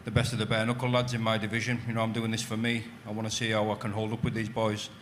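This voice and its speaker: A man's voice: on the low side, monotone, fast, fairly bright tone, a little hiss or hum. A man in his late thirties, a fighter, introducing himself casually at a press conference. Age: 30s. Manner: casual, fills with "you know".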